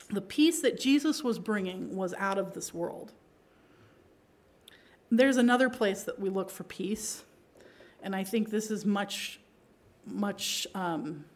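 A middle-aged woman preaching a sermon, her pitch sitting in an ordinary middle range. She talks to the congregation in a conversational way and keeps asking whether they follow. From Pakistan. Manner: conversational, rhetorical tags.

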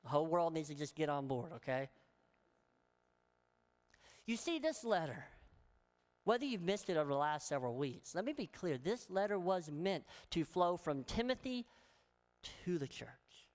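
A woman's voice: deep voice, sing-song, normal speed, long pauses, darkish tone. A woman pastor with a Southern accent, preaching a sermon in an earnest, emphatic way and asking many rhetorical questions. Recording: long hall reverb.

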